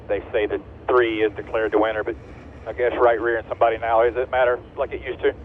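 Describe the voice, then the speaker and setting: A man's voice: mid-range voice, little pitch movement, fast talker. Man on muffled team radio, faint noise. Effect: race radio. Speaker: race team member on radio.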